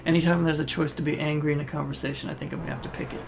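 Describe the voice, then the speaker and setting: A man's voice: on the high side, level tone, very fast, gravelly, music in the background. A man hosting a gaming video with casual, informal and slightly rambling gameplay commentary. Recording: loud game sound behind voice-over. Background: game audio.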